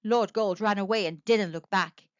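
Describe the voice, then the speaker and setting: A woman narrating a children's storybook aloud with expressive, animated storytelling. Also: mid-range voice, normal speed, no echo. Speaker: storybook reader. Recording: dry, dull lo-fi sound.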